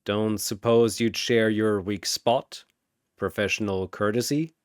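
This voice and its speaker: A man voicing a wry, weary adventurer archaeologist in a flat deadpan, as in an action story. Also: lowish voice, unhurried, no echo, studio quality, natural voice.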